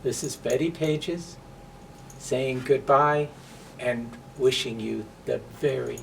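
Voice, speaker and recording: Slightly distant recording, male storybook reader, lowish-energy slow pace, creaky voice, earnest, deliberate emphasis. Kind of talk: read-aloud storytime and personal address to viewers. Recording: distant mic with faint background noise.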